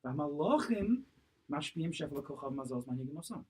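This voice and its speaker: A man's voice: on the high side, expressive, fast talker, a little mellow, breathy edge. A man in his thirties, a rabbi teaching a text class, asking questions and answering them himself while repeating key phrases. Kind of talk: Torah class, explaining a text. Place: small synagogue study room, seated at a table. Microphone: camera a few feet away across the table.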